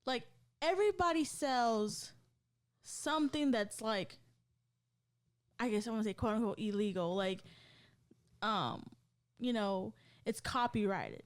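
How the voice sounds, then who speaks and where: A woman's voice: on the high side, animated, unhurried, pauses often. A woman in her thirties co-hosting a podcast, chatting casually and rambling with lots of fillers and frequent laughs. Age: 30s. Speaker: podcast co-host. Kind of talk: casual chat.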